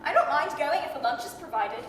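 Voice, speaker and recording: Blunt, greedy Victorian gossip played by a woman, high voice, callous, old radio-play delivery, in a very echoey hall. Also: lively intonation, brisk. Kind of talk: 1930s radio-style staged dramatic reading. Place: large theatre hall, staged reading. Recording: very echoey hall, faint noise.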